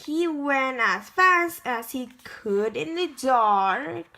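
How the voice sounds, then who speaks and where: A woman with a high, bright voice reads a children's storybook aloud. She goes very slowly and haltingly, often stumbling over the words as an amateur reader does. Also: lively intonation, gravelly. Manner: halting, stumbling word-by-word reading. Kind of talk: children's picture book read-aloud.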